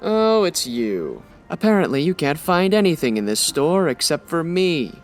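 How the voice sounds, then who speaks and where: A woman's voice: low voice, sing-song, normal speed, soft background music. A woman playing a curt, sarcastic veteran department store clerk in a comedy audio drama, haughty and dry. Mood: curt, irritated.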